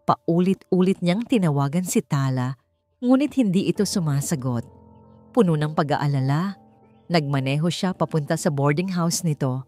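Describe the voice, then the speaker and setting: A woman narrates scripted romantic drama in a fairly low voice. Faint music plays underneath her storytelling. The delivery is that of a dramatic story narrator. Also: expressive, moderate pace, mellow. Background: background music.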